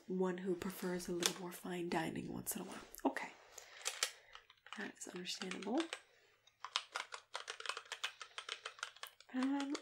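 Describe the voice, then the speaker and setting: A woman playing a kindly, patient matchmaker in an ASMR roleplay, speaking softly and often whispering in a gentle, hesitant way. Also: medium pitch, lightly acted. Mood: tactful, hesitant. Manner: gentle, hesitant, murmured acknowledgements. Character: kindly, patient female monster matchmaker interviewing a client. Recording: clear background noise, keyboard typing and clicks. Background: computer keyboard typing.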